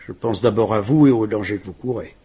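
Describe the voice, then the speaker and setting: A man's voice: lowish voice, fast, mellow, breathy edge. An actor playing a police commissioner who questions a frightened woman in a French radio drama. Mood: questioning.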